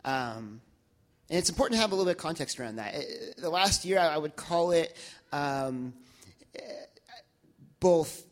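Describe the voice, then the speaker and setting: A young man speaking to his church congregation, reflective and halting, with many restarts and fillers. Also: fairly high pitch, lively intonation. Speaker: church member sharing reflections.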